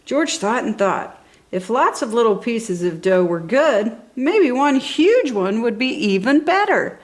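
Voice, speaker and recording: Very animated woman reading a children's story. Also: on the high side, measured pace. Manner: enthusiastic, animated, exaggerated emphasis for young children. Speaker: storybook reader for a toddler channel. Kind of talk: expressive scripted children's story narration.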